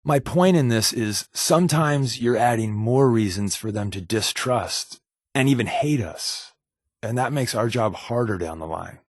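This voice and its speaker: A man's voice: lowish voice, no echo, studio quality. A man playing an easygoing, wisecracking secret agent with hidden guilt in a video game, talking in a wry, casual, conversational way. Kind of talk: video game companion dialogue.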